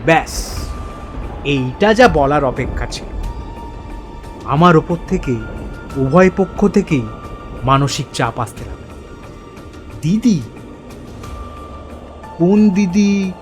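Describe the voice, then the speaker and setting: A man playing a bewildered narrator in a first-person horror story, speaking theatrically in halting, suspenseful repeated phrases. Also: fairly high pitch, lively intonation, soft background music. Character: bewildered man haunted by forgotten childhood memories.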